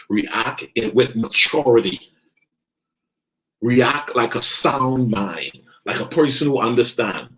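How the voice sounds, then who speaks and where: A man's voice: lowish voice, poor audio. A man from the Bahamas giving an emphatic motivational talk as a coach, repeating his key phrases for weight. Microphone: online video stream.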